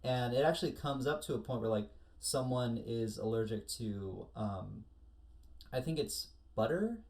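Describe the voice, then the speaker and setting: A man's voice: medium pitch, lively intonation, unhurried, darkish tone. A man co-hosting a casual panel discussion, telling things in a chatty, informal way. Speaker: podcast co-host.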